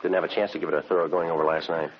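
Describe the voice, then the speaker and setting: An actor playing a clipped, matter-of-fact police detective who narrates a vintage radio drama. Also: male voice, low voice, level tone, racing, slight background noise, natural voice. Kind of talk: 1950s radio drama acting. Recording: dull vintage radio recording with music.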